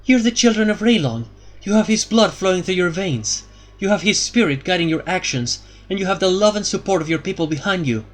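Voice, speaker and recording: A man's voice: high-pitched, lively intonation, brisk, faint music behind, pitched above the performer's own voice. A man voicing an earnest young heroine in an audiobook, pleading and urgent.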